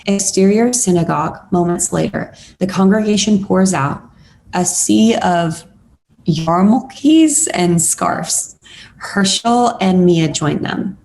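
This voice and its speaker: A woman's voice: on the low side, slow, gravelly, darkish tone, a little hiss or hum. A woman reading screenplay stage directions as scripted narration at a table read.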